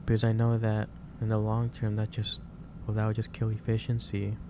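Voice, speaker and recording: A man playing a gentle, caring office boss in an ASMR roleplay, speaking in a hesitant, reassuring way. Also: lowish voice, completely flat delivery, a little fast, natural voice. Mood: earnest, reassuring. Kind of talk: ASMR roleplay, soft improvised monologue. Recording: clear background noise, ambience.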